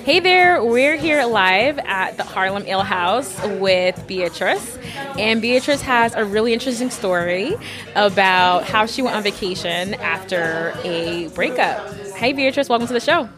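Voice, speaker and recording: A woman's voice: medium pitch, animated, a little slow, music in the background. Upbeat woman hosting a podcast. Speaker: podcast host. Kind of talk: podcast interview. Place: bar.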